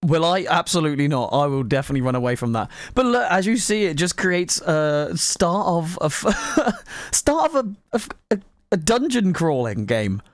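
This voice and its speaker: A woman giving casual, reactive gameplay commentary in an informal, excitable way. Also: sits low, normal speed, dry recording. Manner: animated, reactive, giggly, informal with mild swearing. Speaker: gaming video host and player.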